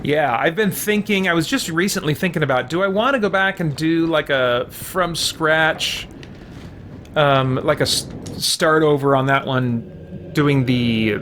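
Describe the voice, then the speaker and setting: A man giving casual live commentary on a game, rambling and halting as he thinks aloud. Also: a little high, fairly bright tone, a little hiss or hum. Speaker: let's play streamer. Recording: game music behind the voice. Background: video game music.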